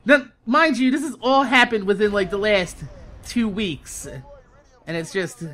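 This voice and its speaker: A man's voice: sits high, a little slow. A young American man telling a casual storytime rant as a YouTube host, swearing heavily and repeating himself in exasperation. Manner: profane, exasperated, animated venting with emphatic repetition.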